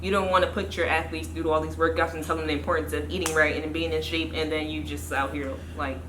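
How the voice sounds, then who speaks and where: A young woman interviewing a coach, with brisk, playful questions. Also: sits low, flat delivery. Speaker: interviewer, athletics staff. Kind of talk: casual interview. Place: studio set with sponsor backdrop. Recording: echoey room with faint noise.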